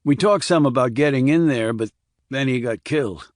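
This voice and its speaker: A man's voice: medium pitch, moderate pace, no room sound, clean studio sound, lightly acted. Man voicing a weary, blunt small-town guy. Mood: sleepy, subdued. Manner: clipped, colloquial, blunt. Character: weary, rough-edged small-town man with a drinking past.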